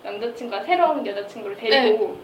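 A woman's voice: a little high, animated, fast talker, over a noisy crowd. A young woman co-hosting a podcast, talking playfully and dodging questions with giggles. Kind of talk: casual group chat. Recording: echoey, distant, very noisy.

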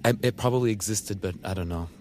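A man's voice: lowish voice, quick pace, darkish tone, a little hiss or hum. A man whose first language is Japanese, hosting a radio interview in a chatty, enthusiastic way. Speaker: radio show host. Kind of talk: conversational interview.

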